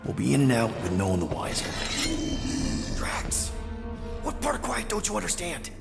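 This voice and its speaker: Glib male outlaw leader, casual and exasperated, fry-edged, reverberant game scene over loud music. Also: mid-range voice, wildly swooping pitch, normal speed, full character voice. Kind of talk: video game acting.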